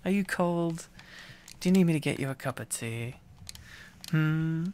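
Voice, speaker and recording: A young man doing a boyfriend ASMR roleplay, clingy, teasing and silly, rambling playfully with halting repetitions. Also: fairly high pitch, lively intonation, a little mellow, smooth.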